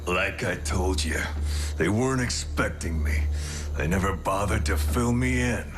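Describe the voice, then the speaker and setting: A man voicing a cocky, battle-hardened alien robot warrior in an animated action series, wry, swaggering and laconic, with a brash wisecracking streak. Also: low-pitched, measured pace. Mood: defiant, taunting. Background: music.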